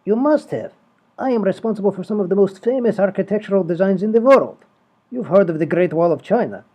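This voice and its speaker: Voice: medium pitch, animated, a little fast, mellow, close to an everyday voice. An actor playing Petrov, a pompous famous architect with a cold and a foreign accent, in a comedy podcast sketch. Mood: pompous, indignant. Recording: dull lo-fi audio.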